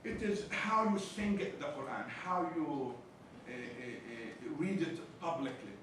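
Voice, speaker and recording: A man's voice: on the high side, slow. A man from Palestine with a heavy Arabic accent, a university chaplain giving a seminar lecture with jokey, teasing asides. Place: lecture room. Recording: echoey room, distant mic, background noise.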